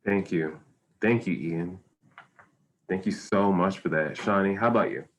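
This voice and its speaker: A man's voice: sits low, unhurried, a little hiss or hum. A man moderating a panel on a video call, asking open questions and thanking the guests.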